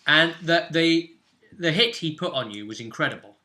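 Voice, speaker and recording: Man, very bright forceful voice, game master, casual joking recap, animated storytelling. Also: fairly high pitch, normal speed. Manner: animated, joking, acting out moments as he narrates. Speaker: game master of a tabletop roleplaying game.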